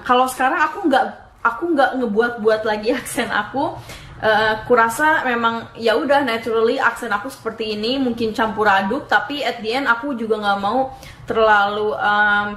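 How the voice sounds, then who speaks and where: A woman's voice: on the high side, fairly bright tone. Chatty young woman from Indonesia, echoey room. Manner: chatty, switches between Indonesian and English, laughs at herself. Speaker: YouTuber giving language tips. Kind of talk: casual vlog talk to camera. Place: home room in Dublin. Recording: echoey room, faint background noise.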